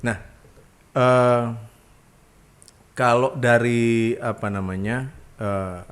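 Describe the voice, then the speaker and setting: A man hosting a podcast interview, unhurried, with many short agreeing hums. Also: mid-range voice, steady tone. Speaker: podcast host. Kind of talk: casual interview.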